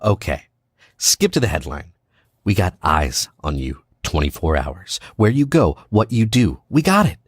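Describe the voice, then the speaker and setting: A man playing a cocky, needling American spy in an audio drama, casual and clipped, with quiet menace. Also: sits low, big pitch swings, unhurried, slightly rough, no echo, studio quality, full character voice. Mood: menacing.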